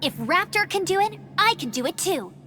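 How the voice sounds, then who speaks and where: A woman's voice: extremely high pitch, sing-song, moderate pace, bright, forceful tone, slight background noise, exaggerated voice. A woman playing a brave, headstrong young female knight scout in an anime-style game, earnest and emphatic. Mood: determined.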